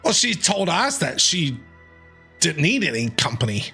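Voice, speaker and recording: A woman's voice: low-pitched, very animated, unhurried. A woman streaming a game with casual, playful, chatty reaction commentary, often repeating phrases. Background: game music.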